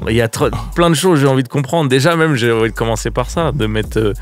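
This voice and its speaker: A man's voice: mid-range voice, fast talker. Casual male radio host over music. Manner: slangy, informal. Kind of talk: casual interview chat. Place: radio studio.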